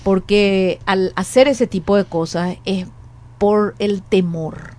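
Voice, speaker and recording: A woman's voice: mid-range voice, fairly even intonation, slow pace, darkish tone. Earnest Paraguayan woman in her forties. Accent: Paraguayan Spanish. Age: 40s. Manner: earnest, restarts phrases and repeats herself while thinking aloud. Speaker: education academic, guest. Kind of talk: conversational interview.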